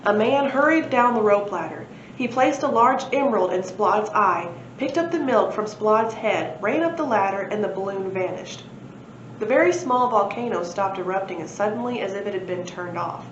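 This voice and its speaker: A woman reading a children's storybook aloud in an expressive narrating style, as a library presenter. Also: medium pitch, normal speed. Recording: roomy, dull lo-fi, faint noise.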